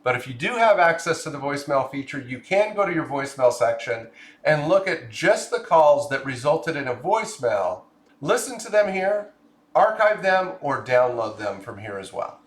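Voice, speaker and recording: Male software explainer, raised pitch, methodical instructional delivery, noticeable room echo. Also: sing-song, moderate pace. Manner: clear step-by-step explaining. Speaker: software tutorial presenter. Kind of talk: scripted screen-share tutorial narration.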